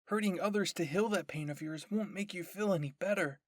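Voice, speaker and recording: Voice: mid-range voice, fairly quick, no echo, studio quality, voice pushed up, lightly acted. A performer voicing an anguished teenage boy soldier in an anime roleplay, pleading urgently and protectively. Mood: tender pleading.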